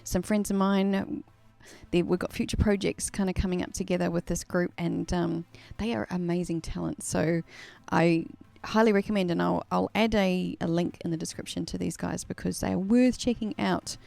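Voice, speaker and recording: A woman's voice: on the low side, fairly even intonation, moderate pace, creaky, darkish tone, no room sound. A woman from New Zealand with a New Zealand accent tinged Australian, chatting casually on a live stream, laughing often and using many fillers. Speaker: singer hosting a live stream.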